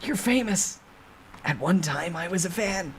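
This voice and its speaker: Man putting on a high-voiced cheeky young man, noisy recording. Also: expressive, unhurried. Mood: bluffing, smooth. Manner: casual, impudent. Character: cheeky, defiant young male office worker, quick-witted bluffer. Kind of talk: dramatic novel reading.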